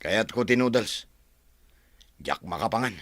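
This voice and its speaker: An actor with an Ilocano accent playing a surly, demanding drunkard husband with a gravelly voice in a radio drama. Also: male voice, lowish voice, expressive, measured pace, fairly bright tone, dry recording. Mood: groggy, grumbling.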